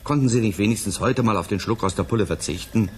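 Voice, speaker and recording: Earnest male researcher, played by an actor, low voice, thoughtful and measured, 1980s radio play style. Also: lightly acted. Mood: cautioning. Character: earnest, careful male planetary scientist. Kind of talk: 1980s German radio drama acting.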